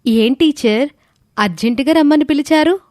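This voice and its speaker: A woman plays a teenage schoolgirl in an audio story, her voice lifted high. She is earnest and respectful, and the recording is close and dry. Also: normal speed, darkish tone, no echo, lightly acted. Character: Jhansi, earnest grateful teenage girl student. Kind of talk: scripted audio story dialogue.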